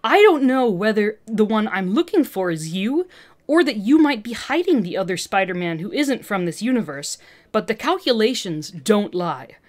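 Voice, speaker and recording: A woman's voice: medium pitch, very animated, normal speed, close to an everyday voice. A woman voicing an arrogant, agitated woman scientist villain with an intense, condescending manner in a fan fiction reading. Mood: coolly accusing.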